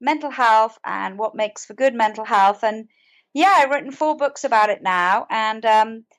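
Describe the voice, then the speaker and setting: A middle-aged British woman with a fairly high voice talks as a guest in an interview. She speaks conversationally, as someone giving a personal account. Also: moderate pace.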